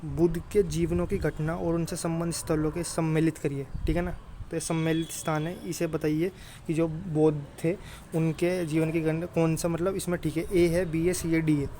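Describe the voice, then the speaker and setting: A man's voice: fairly high pitch, flat delivery, moderate pace, warm tone. A man giving informal exam coaching, often checking in with listeners in a familiar, brotherly way. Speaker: exam prep teacher. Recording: clear background noise.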